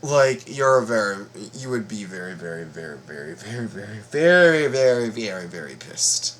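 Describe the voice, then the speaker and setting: A man giving casual reaction commentary, often halting and repeating his words. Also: lowish voice, sing-song, fairly bright tone. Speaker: reaction video host.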